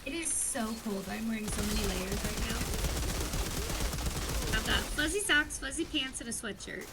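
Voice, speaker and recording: A woman's voice: fairly high pitch, normal speed, far from the mic. A young woman chatting casually with a friend in an easygoing way. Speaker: friend on call. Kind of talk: casual chat. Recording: game gunfire noise behind. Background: game gunfire.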